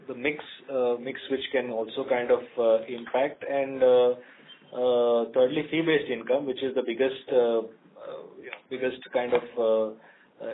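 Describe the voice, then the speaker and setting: A man with an Indian accent answering analysts as a company executive, speaking off the cuff in a halting way and repeating words. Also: medium pitch, slight background noise. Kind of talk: unscripted earnings call answers. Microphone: telephone conference call. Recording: narrow, tinny phone conference line.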